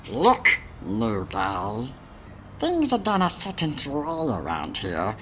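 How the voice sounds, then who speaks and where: A smug, evasive authority figure in a noir sci-fi game. The voice is low and unhurried, swooping widely as it talks down to the listener. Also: female voice, wildly swooping pitch, fairly bright tone, a little hiss or hum, exaggerated voice. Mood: dismissive, warning. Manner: drawn-out, condescending, evasive. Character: evasive, condescending station authority, slow and smugly dismissive. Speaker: video game character. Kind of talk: noir point-and-click game voice acting.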